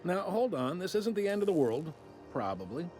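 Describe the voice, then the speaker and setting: A wry customs officer on a video game space station. His folksy drawl is on the high side and very animated, droll and casually apologetic. Light music runs behind him. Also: moderate pace. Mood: reassuring, wry. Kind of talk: video game character acting.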